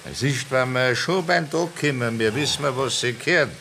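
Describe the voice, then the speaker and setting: A man's voice: medium pitch, moderate pace, sharp tone, slight background noise. An actor playing a tipsy, talkative man with a Bavarian accent, rambling and stammering in a 1960s radio play.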